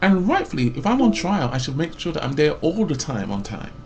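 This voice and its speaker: Gravelly middle-aged man rambling on. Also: fairly high pitch, very animated, a little fast, a little hiss or hum. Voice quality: loud voice. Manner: rambling with many fillers and restarts. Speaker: mental health support worker. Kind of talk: rambling personal rant.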